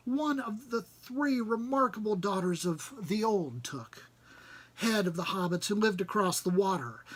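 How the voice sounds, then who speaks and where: A man reading a storybook aloud as narrator, with expressive delivery that often drops softly at the ends of phrases. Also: high-pitched, slightly rough. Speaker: reader.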